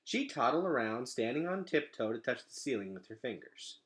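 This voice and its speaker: A man reading a children's storybook aloud as an expressive narrator. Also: medium pitch, normal speed. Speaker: read-aloud reader.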